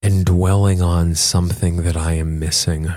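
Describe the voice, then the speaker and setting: Male self-help coach and host, lower register, conversational and instructive, dry recording. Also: flat delivery, measured pace, dark tone, no room sound. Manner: explanatory, instructing the listener directly. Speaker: podcast host and tapping coach. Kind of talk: conversational self-help talk and guided tapping exercise.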